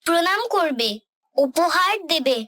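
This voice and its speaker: Woman as high-pitched clever jackal nephew. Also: expressive, unhurried, background noise. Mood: instructing. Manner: knowing, instructive. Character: clever, advising young male jackal, the tiger's nephew. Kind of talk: children's animated story acting.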